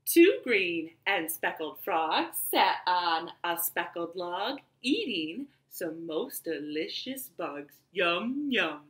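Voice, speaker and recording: Animated woman storyteller, bright and lively. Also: lowish voice, slow, few pauses. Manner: animated, sing-song delivery for young children. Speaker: children's library storyteller. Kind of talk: children's storytime, rhymes and read-aloud story. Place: living room, indoors. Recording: roomy home webcam sound.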